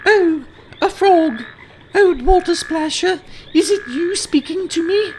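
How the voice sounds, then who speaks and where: A spoiled young princess. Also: male voice, slow, slight background noise, exaggerated voice. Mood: distraught. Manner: shrill falsetto.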